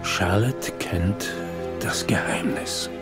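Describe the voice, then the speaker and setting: An actor playing an old grandfather, telling treasure legends solemnly with hushed, dramatic pauses in dubbed film dialogue. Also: lowish voice, over loud music. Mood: solemn, mysterious. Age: older.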